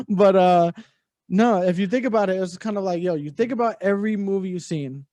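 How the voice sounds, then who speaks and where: A man's voice: sits high, lively intonation, normal speed, dark tone, dry recording, clean studio sound. A man cohosting a casual movie review podcast, talking bluntly with plenty of swearing and restarts. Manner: blunt, profane, rambling with restarts.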